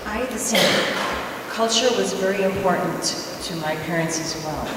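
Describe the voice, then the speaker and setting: A woman dentist on a conference panel, speaking in a measured, hesitant way with fillers. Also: mid-range voice, far from the mic. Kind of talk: panel discussion answer. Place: large conference hall. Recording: echoey hall, mic from afar, noisy.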